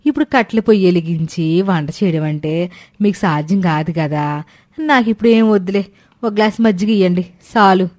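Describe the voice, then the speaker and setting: A woman's voice: on the low side, brisk, a little mellow, lightly acted. A woman voicing a sly, sweet-talking elderly mother-in-law in a moral cartoon story. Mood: coaxing, plaintive. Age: older.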